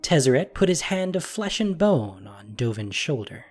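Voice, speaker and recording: A man narrating a scripted fantasy story in an expressive, dramatic way. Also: medium pitch, normal speed, breathy edge, faint music behind.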